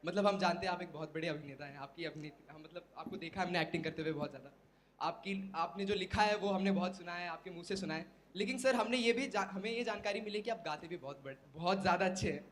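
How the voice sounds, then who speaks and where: A man's voice: high-pitched, fast, slight background noise. A young man interviewing a guest on stage, eager and pleading like a fan. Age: young adult. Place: conference hall.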